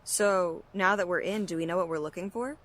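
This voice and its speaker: A woman's voice: lowish voice, fairly quick, a bit bright, a little hiss or hum, natural voice. A woman acting in an audio drama as a cool, shrewd, understated member of a vigilante team. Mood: questioning. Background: music.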